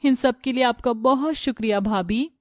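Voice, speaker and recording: Woman as young bride-to-be, family story; affectionate, assured, high register. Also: normal speed, dry recording, clean studio sound, lightly acted. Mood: grateful, delighted.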